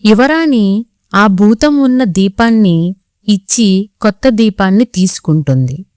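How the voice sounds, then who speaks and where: A woman's voice: medium pitch, animated, measured pace, a little mellow, clear, resonant tone, dry recording, studio quality. A woman reading scripted children's story narration as the storyteller.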